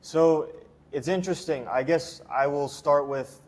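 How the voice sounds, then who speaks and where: A man's voice: on the high side, unhurried. Chatty young man, easygoing answers. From Hong Kong. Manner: relaxed, chatty, many fillers and restarts. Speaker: strength and conditioning coach. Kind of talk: casual interview answers.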